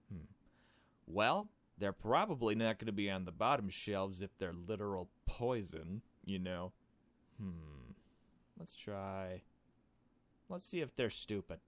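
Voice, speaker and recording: A man's voice: fairly low pitch, no room sound. A man reading a visual novel game aloud and commenting casually, with wry, joking asides. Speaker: gaming video host.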